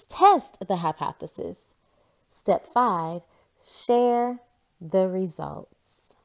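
A woman giving an expressive read-aloud narration of a children's storybook. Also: medium pitch, creaky. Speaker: storybook reader.

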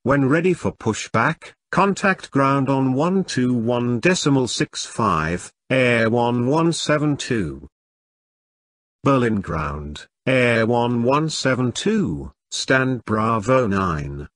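Male voice for pilot phraseology lesson, mid-pitched, unhurried, formulaic delivery. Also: sing-song, a bit bright, no echo. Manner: measured, formulaic. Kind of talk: scripted radio phraseology readback.

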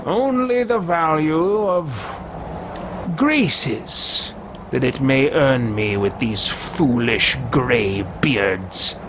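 A man doing a pompous adventurer who swoops up and down on a lively, slightly high pitch and drags his words out slowly. He sounds theatrical and archaic, with a noisy hiss in the room behind him. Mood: haughty. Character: haughty, scheming male adventurer hero. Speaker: let's-play host. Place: home room with shelves, indoors. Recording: noisy home desk recording.

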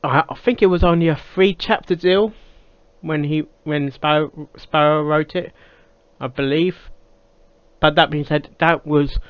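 Higher-pitched man, casual reaction host. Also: steady tone, measured pace, clear, resonant tone, dry recording. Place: small cluttered room indoors. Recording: slightly dull, dry sound.

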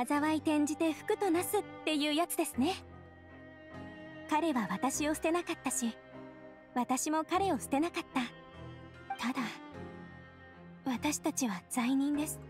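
A woman playing a gentle young bride-to-be in a game, speaking politely and deferentially. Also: high-pitched, measured pace, pauses often, faint music behind. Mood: gentle, hesitant. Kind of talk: game dialogue.

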